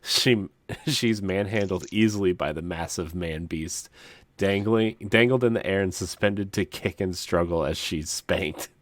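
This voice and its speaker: A man's voice: deep voice, measured pace, no room sound. A man co-hosting a podcast, doing comic readings for friends and often breaking into laughter as he reads. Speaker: podcast co-host. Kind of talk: comic dramatic reading of book descriptions among friends.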